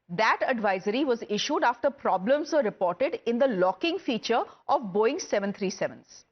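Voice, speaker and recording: Indian-accented woman, news debate host, high pitch, rhetorical emphatic delivery, muffled band-limited audio. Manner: emphatic, rhetorical questioning with dramatic pauses. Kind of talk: scripted news monologue to camera.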